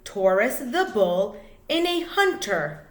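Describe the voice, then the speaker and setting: A woman reading a children's book aloud like a teacher, in a deliberate, expressive way, phrase by phrase for young listeners. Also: mid-range voice, reverberant. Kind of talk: children's storybook read-aloud.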